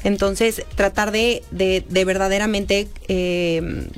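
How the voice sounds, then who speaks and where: A young woman born in San Diego and raised Mexican, a perfume creator answering interview questions earnestly, with many pauses and fillers. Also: mid-range voice, fairly even intonation, clear, resonant tone, soft background music. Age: young adult.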